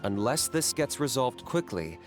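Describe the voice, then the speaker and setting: An actor playing a pragmatic young monster hunter in a video game, measured, reserved and matter-of-fact. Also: male voice, mid-range voice, big pitch swings. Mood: serious. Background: background music.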